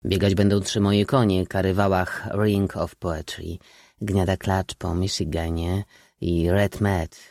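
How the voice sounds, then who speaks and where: Man playing a low, gravelly bumbling young suitor. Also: level tone, takes it slowly, a little mellow, no room sound, studio quality. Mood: boastful, chatty. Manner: blurting, hesitant, self-correcting. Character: bumbling young aristocratic suitor, horse-mad, eager, awkward.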